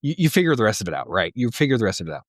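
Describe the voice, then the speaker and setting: A man's voice: lowish voice, sing-song, rapid-fire, no room sound, clean studio sound. A man co-hosting a podcast, bantering casually with blunt, sweary and emphatic opinions. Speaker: podcast co-host.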